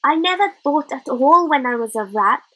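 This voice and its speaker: A woman's voice: high voice, expressive, normal speed, sharp tone, pitched above the performer's own voice. A woman voicing a cheerful, literal small boy who used to be a rat, chattering earnestly in working-class grammar. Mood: puzzled.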